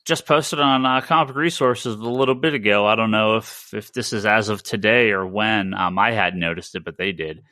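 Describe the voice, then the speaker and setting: A man's voice: lowish voice, moderate pace, few pauses, sharp tone, no room sound, clean studio sound. A man hosting a casual, informal discussion of comics news. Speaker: podcast host.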